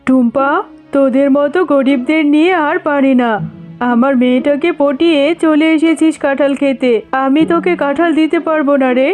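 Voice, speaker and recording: High-pitched, haughty cartoon mother played by a woman. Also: steady tone, moderate pace, darkish tone, faint music behind, lightly acted. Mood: scornful. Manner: scornful, scolding. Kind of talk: cartoon voice acting.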